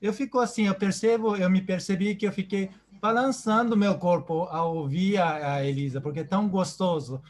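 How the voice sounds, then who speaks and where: Effusive Japanese man, halting speech. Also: high voice. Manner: halting, repeats words, effusive. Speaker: host. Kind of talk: closing remarks on a video call.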